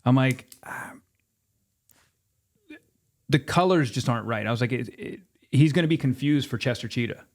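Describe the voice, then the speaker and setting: Male, 50s, medium pitch. Interview guest spinning anecdotes informally, voicing both sides of remembered exchanges. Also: fast talker. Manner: animated retelling with quoted dialogue. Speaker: podcast guest, former sports mascot performer. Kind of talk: casual storytelling in an interview.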